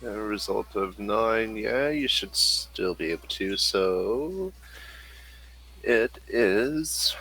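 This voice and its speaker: A man runs a tabletop role-playing game as its game master. His voice is fairly low and forceful, and he talks very slowly, in a halting, deliberate way. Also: lively intonation, husky.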